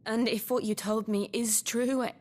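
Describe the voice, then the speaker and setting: A woman playing a steadfast, caring noblewoman in a video game, speaking in a soft, earnest and measured way. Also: a little high, steady tone, close to an everyday voice. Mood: resolute, supportive. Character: steadfast, caring noblewoman, loyal companion, gently resolute. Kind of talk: dramatic video game cutscene acting. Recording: music behind the voice.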